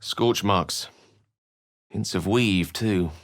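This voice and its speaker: A learned, refined wizard from a fantasy video game. His voice is low and closely recorded with a dry sound. He speaks eloquently and with wry polish, measuring out his phrases like a theatrical storyteller. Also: extremely slow, a bit bright, dry recording, heavily acted. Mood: storytelling, grave.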